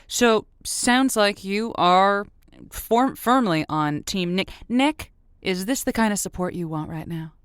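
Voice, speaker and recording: A woman's voice: mid-range voice, lively intonation, slow, clear, resonant tone, no room sound, clean studio sound. A woman playing a wry radio matchmaker who hosts a comedy call-in show in a conversational way with dramatic emphasis. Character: quick, wry female radio matchmaker host. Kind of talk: comedic radio host acting.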